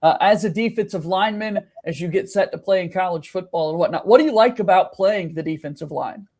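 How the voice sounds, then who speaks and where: High-voiced male podcast host. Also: brisk, darkish tone. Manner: upbeat, friendly questioning. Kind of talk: podcast interview.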